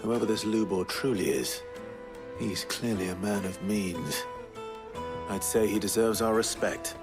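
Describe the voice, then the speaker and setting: Man acting a low-voiced, determined young outlaw lord. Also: music in the background, lightly acted. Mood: composed. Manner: measured, direct, restrained. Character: composed, determined young male outlaw lord, earnest and wary. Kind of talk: dramatic video game acting.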